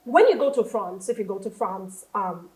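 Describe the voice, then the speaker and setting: A Nigerian woman hosting a studio talk show, speaking in an animated, questioning way. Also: mid-range voice, moderate pace, roomy sound, low-quality recording.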